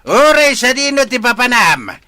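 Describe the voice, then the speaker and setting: A man with an Ilocano Filipino accent playing a gruff, bullying, mocking older man in a comedy radio drama. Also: very high voice, very animated, moderate pace, bright, forceful tone, no echo, heavily acted. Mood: angry, taunting.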